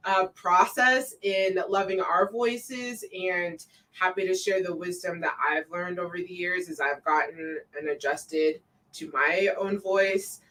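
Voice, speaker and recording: Slow-talking woman live-stream host. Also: recorded from a distance. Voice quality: says her own voice sounds deep to herself. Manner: relaxed, many fillers, reads viewer comments aloud. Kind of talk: casual live-stream chat.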